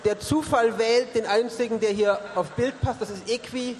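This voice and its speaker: A man's voice: very high-pitched, fairly even intonation, moderate pace. A man hosting a live stage quiz, joking and bantering with the audience. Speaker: quiz show host. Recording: live hall with crowd and music.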